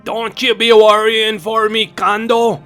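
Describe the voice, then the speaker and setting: A man's voice: sits high, flat delivery, measured pace, pushed and bright, soft background music, pitched above the performer's own voice. A man voicing a loyal, wounded troll shaman, strained and earnest, in a fantasy story. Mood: dying, defiant.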